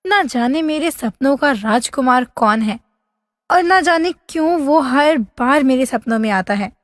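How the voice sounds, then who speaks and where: A woman playing a wistful, lovesick young princess in a fairy tale, with a plaintive, drawn-out, dramatic delivery. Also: high-pitched, animated, studio quality. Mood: wistful, troubled. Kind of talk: animated fairy-tale voice acting. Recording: long hall-like reverb.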